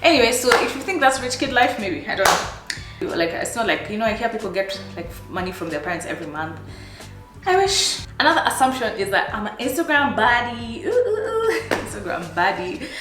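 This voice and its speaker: A woman in her thirties with a Kenyan accent, chatting casually to her viewers in a life-update vlog, animated and laughing often. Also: a little high, fairly quick, reverberant, music in the background. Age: 30s. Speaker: vlogger.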